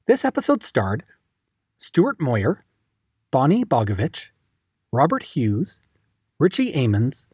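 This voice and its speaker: A man's voice: medium pitch, wildly swooping pitch, slow pace, pauses often, darkish tone, breathy edge, no room sound, clean studio sound. A man giving a friendly, informal announcement at the end of an episode. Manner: upbeat, informal. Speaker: producer and host.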